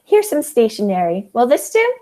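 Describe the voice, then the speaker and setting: Teenage girl as a high, lively old aunt. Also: moderate pace. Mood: sweetly indignant. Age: teens. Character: sweet, indignant elderly aunt, primly stubborn. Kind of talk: school radio play acting.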